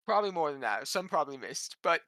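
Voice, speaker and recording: Player, man, higher voice, bright and bouncy delivery. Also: big pitch swings, fast, dry recording. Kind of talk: casual tabletop game chat.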